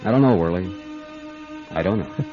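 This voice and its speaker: An actor playing a calm, probing private detective in a radio drama, speaking in a measured, wry way. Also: male voice, deep voice. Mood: matter-of-fact. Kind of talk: radio drama acting with first-person narration. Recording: dull band-limited radio drama with music.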